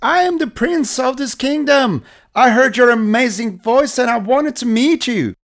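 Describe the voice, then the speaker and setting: Woman doing a gallant young prince for a kids story, lively pitch, dry sound. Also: measured pace, no echo. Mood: earnest, reassuring. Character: earnest, brave young prince in a fairy tale.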